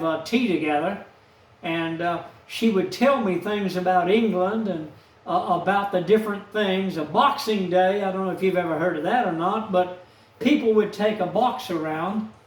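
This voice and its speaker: A man, telling a rambling personal story in a slow, aggrieved, folksy way. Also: high voice, far from the mic. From Texas, USA. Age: 70s. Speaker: vlogger. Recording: echoey room, off-mic.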